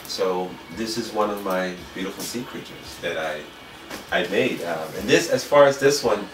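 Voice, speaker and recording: Deep-voiced middle-aged man in echoey room. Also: normal speed, far from the mic, a little hiss or hum. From Puerto Rico, raised in New York City. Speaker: fashion designer being interviewed. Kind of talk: casual interview talk. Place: designer's indoor studio with sewing machines. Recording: echoey room, off-mic, music behind.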